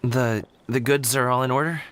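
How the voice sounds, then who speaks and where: A man's voice: medium pitch, steady tone, normal speed, fairly bright tone, slight background noise, lightly acted. A man voicing an easygoing young escort worker. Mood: tense. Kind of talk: video game voice acting. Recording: game audio with music behind.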